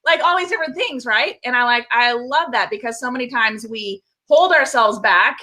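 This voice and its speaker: A bubbly woman hosting a live show over a video call. Her voice sits a little high and rings very bright. She keeps the energy up and talks straight to her viewers. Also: normal speed. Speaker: host.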